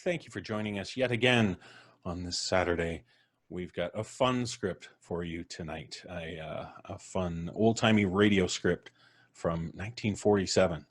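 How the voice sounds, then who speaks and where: A man's voice: sits low, normal speed. A man hosting a live podcast stream, introducing his guests with jokes and a rambling, playful manner. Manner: jokey, rambling, laughs at his own quips. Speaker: podcast host. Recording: music playing in the background.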